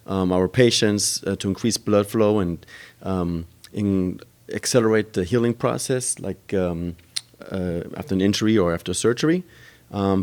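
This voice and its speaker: A man talks in a very low voice as a podcast guest. He explains how his gym works at length, pausing often with ums and uhs as he goes. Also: a little mellow. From Germany. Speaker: gym owner and trainer. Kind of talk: podcast interview answers.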